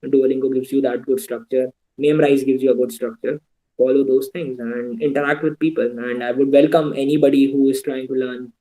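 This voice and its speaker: A young Indian man talks students through his advice over a video call. His voice is mellow and dark, his pitch in the middle. He sounds earnest and practical, now and then slipping into Hindi. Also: level tone, brisk, poor audio. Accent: Indian English. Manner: earnest, advising, switches into Hindi asides. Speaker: guest speaker. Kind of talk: online talk giving advice to students.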